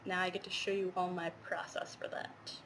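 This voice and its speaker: Congested, chatty woman with a bright voice. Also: on the low side, level tone, normal speed, slight background noise. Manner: rambling, lots of fillers and asides. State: congested with a head cold. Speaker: cross-stitch vlogger. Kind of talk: casual show-and-tell chat.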